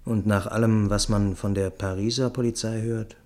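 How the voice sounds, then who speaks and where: A man with a low voice narrates a literary radio play in the first person. He tells it descriptively, as scripted storytelling. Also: fairly even intonation, normal speed, a little mellow.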